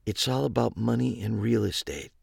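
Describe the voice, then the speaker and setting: A male narrator voicing a frightened young woman who speaks softly, near a whisper. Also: fairly low pitch, steady tone, a little slow, gravelly, dry recording, clean studio sound. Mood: hushed.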